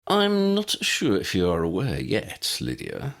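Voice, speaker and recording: A man voicing a sage, droll uncle in an audiobook story, measured and wordy in his speech. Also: on the low side, huge pitch swings, fairly bright tone, heavily acted. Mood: wry. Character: wry, formal, sage uncle.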